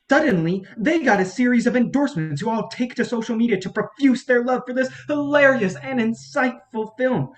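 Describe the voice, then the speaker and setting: A performer at a staged reading acts out an embittered film campaign strategist at the end of their rope. The delivery is highly animated, sweeping up and down in pitch. The manner is profane, sarcastic and exasperated. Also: lightly acted. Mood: bitterly sarcastic.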